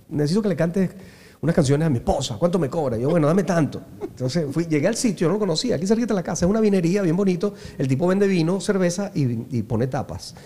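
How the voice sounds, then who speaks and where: A Venezuelan man, a singer who leads a band, chatting casually and telling lively anecdotes. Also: on the high side, big-room sound. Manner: storytelling, animated anecdotes. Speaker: singer and bandleader. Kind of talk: casual conversation.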